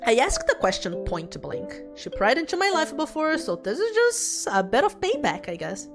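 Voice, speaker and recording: Woman reading as anxious dead young woman, animated pitch. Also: moderate pace, full character voice. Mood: matter-of-fact. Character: anxious, self-doubting young dead woman narrating her afterlife. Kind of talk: dramatic reading of visual novel text. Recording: music behind the voice.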